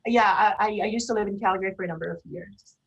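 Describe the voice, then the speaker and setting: Woman hosting, mid-pitched voice. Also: fast, gravelly, slightly rough, lo-fi sound. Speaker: host of an online radio show. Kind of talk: casual, chatty interviewing.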